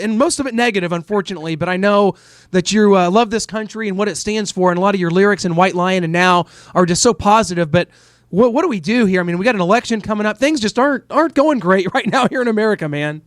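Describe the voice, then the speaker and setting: A man's voice: high-pitched, fast, dry recording, clean studio sound. A man hosting a podcast interview, chatty and chummy, with lots of "uh" fillers. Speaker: podcast host. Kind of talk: casual interview.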